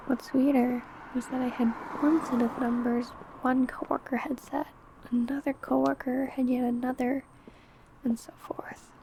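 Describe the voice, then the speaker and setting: A secretive vampire coworker, acted out by a woman in an ASMR roleplay. Her voice sits fairly high yet stays soft and mellow, with a creaky edge. She talks close and hushed, coy and sly, as if sharing gossip with you alone. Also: slight background noise, poor audio. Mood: hushed, conspiratorial. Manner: hushed, chatty, coy, drifting into whispers. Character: secretive female vampire coworker, older senior, sly and possessive. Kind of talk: ASMR roleplay addressed to the listener.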